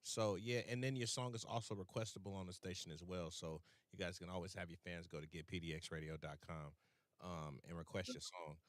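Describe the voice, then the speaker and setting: A man's voice: sits low, steady tone, brisk, no room sound. A man hosting a music interview, chatting casually with lots of fillers and restarts. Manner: laid-back, many fillers and restarts. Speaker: radio host and playlist curator.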